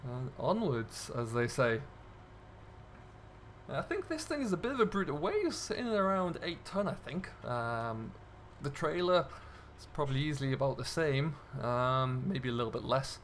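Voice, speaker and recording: A man's voice: medium pitch, big pitch swings, normal speed, a bit bright. Chatty man commentating over vehicle noise. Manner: chatty, talks himself through the driving. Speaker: gaming video host. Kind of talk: casual role-play gameplay commentary.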